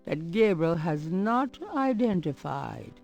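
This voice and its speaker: A woman playing the wry storyteller narrator of a mystery adventure game, with deliberate, drawn-out theatrical phrasing. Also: on the low side, sing-song, creaky, darkish tone, heavily acted. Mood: measured, mysterious. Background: game music.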